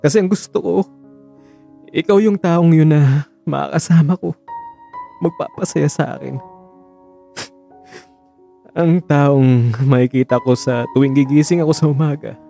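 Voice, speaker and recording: A man acting as a devoted, caring partner in an audio drama, earnest, coaxing and gently scolding with emotion. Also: a little high, unhurried, gravelly, pauses often, faint music behind, voice pushed up. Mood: pleading, emotional.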